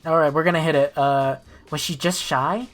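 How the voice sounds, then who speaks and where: A young adult man streaming a game with casual, excitable commentary and some swearing. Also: fairly high pitch, moderate pace, faint music behind.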